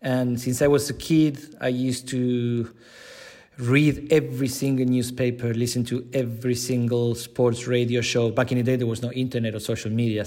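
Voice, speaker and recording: A man's voice: medium pitch, darkish tone. A middle-aged man from Buenos Aires with an Argentinian accent, a native Spanish speaker, giving conversational answers as an interview guest. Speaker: podcast guest, digital content manager.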